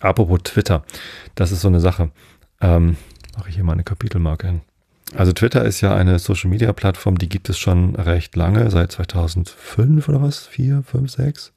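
Low gravelly man, rambling sleep podcast. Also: normal speed, warm tone, no room sound, studio quality. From Northern Germany. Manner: meandering, hesitant, many fillers and digressions. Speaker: podcast host.

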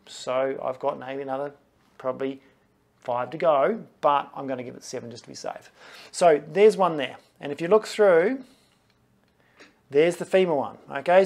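A man's voice: a little high, darkish tone. A man in his forties, a physiotherapist, chatting through his own knee scans to camera in a small clinic office, often checking in with okay and right. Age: 40s.

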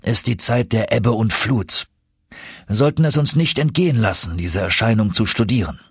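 A man acts out an older professor uncle in an adventure storybook. He is confident and eager, with a low, husky voice. He lectures with emphasis. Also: lively intonation, normal speed, dry recording, clean studio sound. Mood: lecturing.

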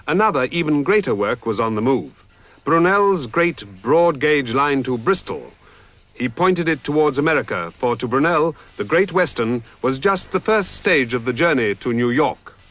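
A man giving measured, dramatic scripted documentary narration. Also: mid-range voice, fairly bright tone. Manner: measured, dramatic storytelling delivery.

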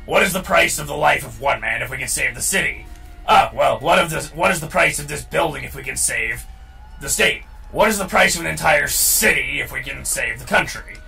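A man's voice: on the high side, animated, brisk, vocal fry, pushed and bright. A man hosting a gaming show with casual, opinionated commentary, emphatic and prone to swearing. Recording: distant mic, game music underneath.

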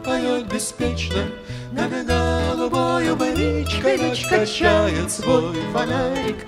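A woman with a high, light singing voice sings an unhurried, melancholy ballad on a small concert stage. She sings into a stand mic. Two acoustic guitars play loudly behind her. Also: loud music behind the voice. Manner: sings in a slow, lyrical, melancholy ballad style. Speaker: singer. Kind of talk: live song with guitar accompaniment. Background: two acoustic guitars playing.

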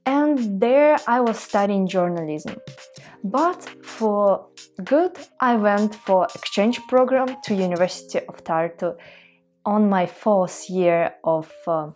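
A woman's voice: mid-range voice, slow pace, a little mellow, faint music behind. A young woman from Russia with a Russian accent talks casually to the camera from a desk in a small room at home, earnest and chatty, with careful English and small restarts. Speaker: vlogger.

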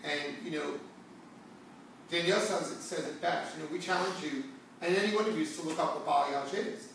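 Middle aged guy doing an educational chat, kinda high voice, repeats stuff for emphasis, roomy far mic w some noise. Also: a little fast, vocal fry, a bit bright. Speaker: salon talk show host, hair stylist. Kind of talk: conversational educational talk to viewers. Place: indoor hair salon seating area. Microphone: far camera. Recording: distant mic in echoey room, background hiss.

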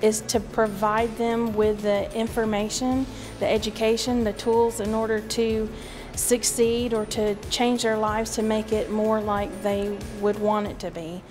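A woman's voice: mid-range voice, level tone, measured pace, a little mellow. A middle-aged woman working as an extension agent, speaking in a sit-down interview indoors. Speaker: food safety extension agent. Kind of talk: sit-down interview for a promotional video. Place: indoor office or cafe room. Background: music.

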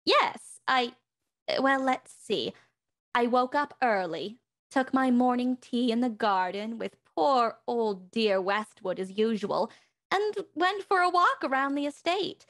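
A woman's voice: a little high, animated, slow pace, a bit bright, full character voice. A woman playing a haughty, leisured socialite houseguest in a radio mystery, arch, airy and evasive. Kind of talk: radio mystery acting, live online table read.

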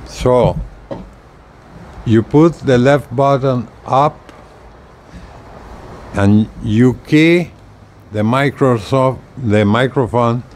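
An older man talks slowly through a casual gadget demonstration. His delivery is halting and unpolished, with a medium-pitched voice. He is recorded outdoors on a porch with faint ambient noise behind him. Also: a little mellow. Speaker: video creator demonstrating radio gear. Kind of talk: casual hands-on product demonstration.

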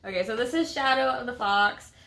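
Expressive woman chatting casually about books. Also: on the high side, brisk. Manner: expressive, emphatic, repeats words for effect. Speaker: book reviewer and co-host of a book vlog. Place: small indoor room at home with a bookcase.

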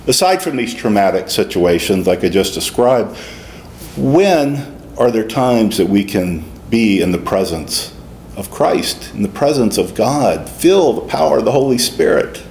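A man's voice: lowish voice, darkish tone. A middle-aged man, a deacon, preaching a homily in a conversational way with folksy asides, in a small stone-walled church. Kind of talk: sermon. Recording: echoey church with faint room noise.

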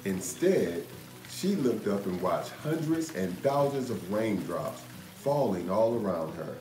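A man in his thirties reading a children's picture book aloud in an expressive, measured storytelling manner. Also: fairly low pitch. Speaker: storytime reader, host. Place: indoor room. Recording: roomy echo. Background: music.

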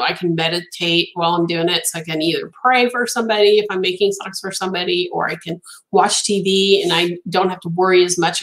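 A woman's voice: lowish voice, low-quality recording. A woman in her fifties whose first language is Italian, chatting casually on a live stream from her home craft room, with lots of ums and restarts, a little stuffy from allergies. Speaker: crochet designer hosting a live stream. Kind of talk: casual live-stream chat reading viewer comments. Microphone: webcam at desk.

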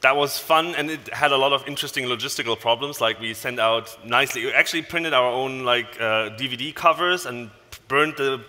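A man's voice: mid-range voice, a little fast. A man in his thirties with a German accent, a native German speaker, giving an informal, joking tech talk on stage as a conference speaker. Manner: animated, joking, casual swearing. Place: large conference hall stage. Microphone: headset. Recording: large hall reverb.

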